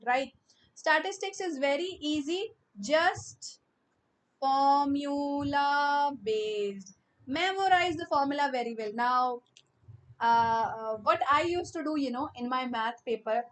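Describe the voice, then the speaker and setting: A young woman teaching maths in a live online class, with an Indian English accent and a high, bright voice. She talks loudly and chattily, greeting students by name and mixing Hindi phrases into her English.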